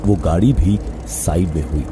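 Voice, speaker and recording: A man narrating a scripted horror story in a suspenseful, dramatic way with drawn-out phrasing and pauses. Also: deep voice. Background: music under the voice.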